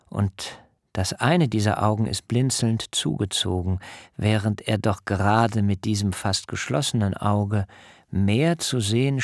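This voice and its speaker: Casting: smooth-tongued fugitive nephew for radio play. Very unhurried, declamatory, gravelly fry in the voice. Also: male voice, on the low side, dry recording, studio quality. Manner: measured, ornate, declamatory. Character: destitute but proud, eloquent fugitive nephew, smooth-tongued. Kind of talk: literary radio drama acting.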